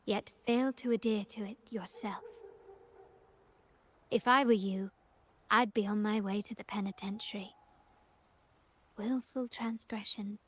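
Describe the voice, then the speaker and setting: A woman's voice: mid-range voice, husky. A woman voicing a poised, imperious young noblewoman in a video game, composed, formal and cutting as she speaks. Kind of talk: scripted game voice acting.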